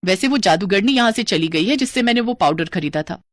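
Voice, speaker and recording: A woman's voice: mid-range voice, lively intonation, fairly quick, no room sound, studio quality, lightly acted. Woman acting a scheming jealous sister-in-law. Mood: smug.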